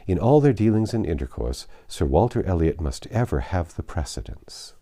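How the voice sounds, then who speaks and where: A man reading a classic novel aloud as an audiobook narrator, in an expressive scripted style. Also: deep voice, normal speed.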